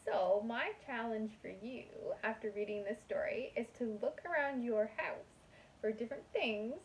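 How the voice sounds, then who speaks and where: A woman speaks as a kindergarten teacher to young children. Her voice sits a little high and has a sing-song delivery suited to a picture book. The recording is somewhat dull, with faint noise behind her. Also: darkish tone.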